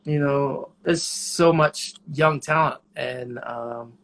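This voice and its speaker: Man, thirties, interview guest, relaxed, reflective, pauses often, mid pitch. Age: 30s. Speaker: jazz trumpeter and bandleader, interview guest. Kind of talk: casual interview chat.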